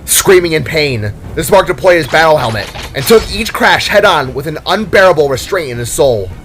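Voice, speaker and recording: A man with a fairly high voice delivers dramatic scripted narration. He tells an action tale as storyteller, with music playing behind him. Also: lively intonation, normal speed, a bit bright.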